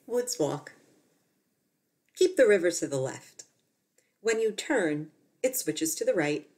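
A woman reads poetry in a slightly low voice at a very slow pace. Her delivery is measured, set out in short deliberate phrases with space between them. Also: animated, darkish tone. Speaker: poet. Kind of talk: poetry reading.

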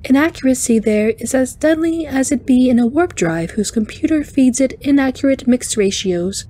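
A woman's voice: sits high, animated, mellow, pitched above the performer's own voice. A woman voicing a cheerful, intense female alien spider engineer in an audiobook, with a light chiming voice and courteous, precise speech. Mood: earnest, brisk. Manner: brisk, precise, courteous, slightly formal. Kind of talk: audiobook character voice.

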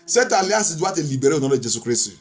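Male pastor, higher-pitched voice, declamatory and repetitive, delivering insistent prayer and sermon. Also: very animated, fast talker. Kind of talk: bilingual sermon and fervent prayer.

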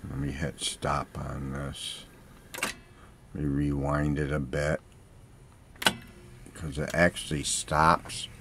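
A man selling gear gives a casual, unscripted demonstration, speaking plainly and haltingly in short phrases, recorded on a handheld camera indoors. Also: sits low. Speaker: seller demonstrating vintage audio gear for an online listing. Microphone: handheld camera, speaker behind it.

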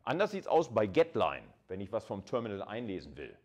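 Male university lecturer, lowish voice with lively swings, informal and direct with listeners. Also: a little fast. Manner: conversational, addresses listeners directly, checks in with short tags.

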